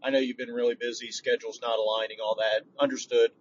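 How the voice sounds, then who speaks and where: A man's voice: mid-range voice, little pitch movement, fast. A man leaving a casual voicemail as a podcast listener, speaking in a frank, conversational way. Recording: dull, noisy, distant voicemail recording.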